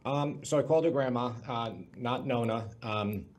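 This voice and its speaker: A man's voice: medium pitch, moderate pace. A man from Long Island, chatting casually as a podcast guest. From Long Island, New York. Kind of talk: casual conversational recollection.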